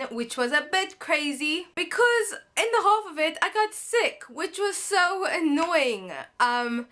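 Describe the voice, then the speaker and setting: A woman's voice: sits high, sharp tone. A teenage girl from South Africa, chatting in a bubbly, casual vlog with frequent ums. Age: teens. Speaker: vlogger. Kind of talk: casual monthly favourites vlog.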